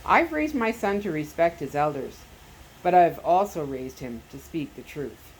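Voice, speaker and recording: A woman voicing a firm, steady male doctor and father, speaking in a measured, earnest way. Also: low-pitched, slight background noise, rough recording.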